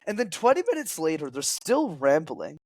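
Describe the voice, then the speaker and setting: A young man who grew up speaking French, explaining storytelling as a public speaking coach in an energetic, teacherly way as a podcast guest. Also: fairly high pitch, normal speed.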